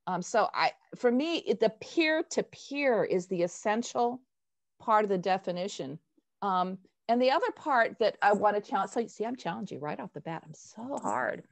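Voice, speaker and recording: An older woman hosts an online discussion in an informal, animated manner. She speaks emphatically and pokes fun at herself, heard over a video-call headset at a middle pitch. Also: moderate pace, vocal fry. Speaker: host, workshop facilitator. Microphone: wired earphone headset.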